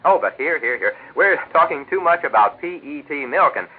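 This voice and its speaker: An American man sells a sponsor's product in chatty 1940s radio style. His husky voice rises and falls freely in a folksy patter. It comes through as a narrow, phone-like old broadcast. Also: fairly high pitch, animated, normal speed. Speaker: radio announcer and sponsor's pitchman. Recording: vintage 1940s radio broadcast, telephone-like band.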